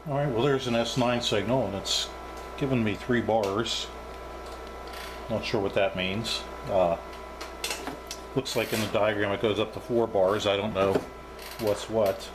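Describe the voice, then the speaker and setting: A man's voice: fairly low pitch, fairly even intonation, measured pace, long pauses. A man giving a casual, off-the-cuff hands-on equipment review, thinking aloud in a hesitant way. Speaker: reviewer demonstrating radio equipment. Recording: clearly noisy background.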